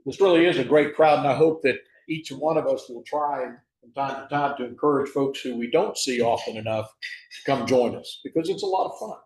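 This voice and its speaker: A man's voice: medium pitch, a little fast, darkish tone. A man leading a club meeting, easygoing and joking. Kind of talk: casual meeting emcee. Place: meeting room. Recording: echoey meeting room.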